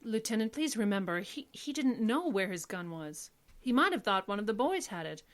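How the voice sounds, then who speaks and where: A woman's voice: mid-range voice, sing-song, moderate pace, close to an everyday voice. A woman playing a determined, loyal defense attorney in an old-time radio drama, speaking firmly and insistently. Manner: firm, insistent.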